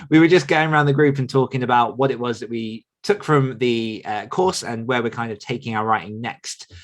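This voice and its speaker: Podcast host chatting on a group video call, medium pitch, informal. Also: moderate pace. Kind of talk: casual group discussion on a live online call.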